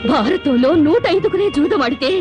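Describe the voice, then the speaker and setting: A woman's voice: very high-pitched, animated, a little fast, over loud music. An actress playing a young wife who bitterly reproaches her gambling husband, sarcastic and tearful, in a film melodrama. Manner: sarcastic, reproachful, breaks into tears.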